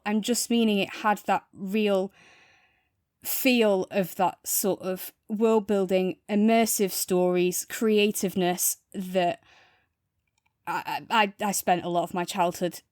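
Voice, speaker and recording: Female podcast co-host, mid-pitched, enthusiastic casual review chat, very dry recording. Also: a little mellow, no echo.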